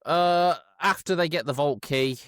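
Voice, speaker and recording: A man co-hosting a podcast, giving a casual comedic film recap in a halting, irreverent way with mock-quoted dialogue. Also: on the high side, fairly bright tone, clear, resonant tone, no room sound, studio quality. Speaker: podcast co-host.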